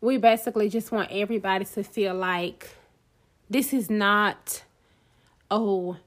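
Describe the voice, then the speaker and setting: A woman's voice: medium pitch, fairly even intonation, measured pace. A woman co-hosting a casual talk show, speaking in an encouraging, pep-talk way. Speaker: podcast co-host. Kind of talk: casual chat.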